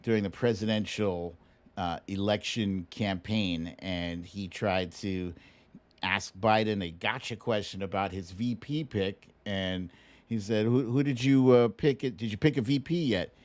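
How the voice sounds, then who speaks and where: A man's voice: fairly low pitch, unhurried, a little mellow. A man hosting a casual livestream, chatting through news and viewer comments in a wry, teasing, colloquial way. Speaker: livestream show host.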